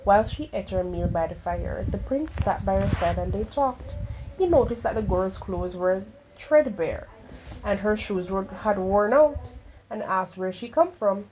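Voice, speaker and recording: A woman reads a children's tale aloud over clear background noise. Her voice sits at a middle pitch, and she takes care with each phrase, shaping it expressively with the odd small stumble. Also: lively intonation, measured pace, darkish tone. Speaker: storyteller reading a children's book aloud. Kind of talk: read-aloud children's storytelling. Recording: clearly noisy home recording.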